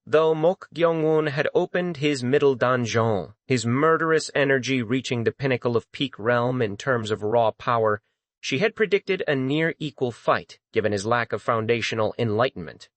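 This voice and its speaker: A man's voice: mid-range voice, normal speed, no echo. A man reading dramatic scripted fantasy narration as an audiobook narrator.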